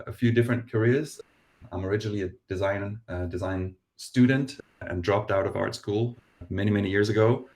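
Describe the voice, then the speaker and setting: A man from Denmark with a softened Danish accent, introducing himself on a video-call panel in a modest, self-deprecating way with halting restarts. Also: fairly low pitch. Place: London.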